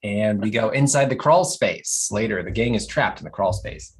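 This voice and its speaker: A man reading scripted stage directions at an animated comedy table read, telling the action with amused storytelling flair. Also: fairly low pitch, moderate pace.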